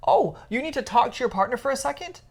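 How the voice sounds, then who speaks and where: A woman's voice: sits high, expressive, fast, gravelly, darkish tone. A woman co-hosting a podcast, chatting casually and giving opinions in an emphatic, teasing way. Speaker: podcast co-host.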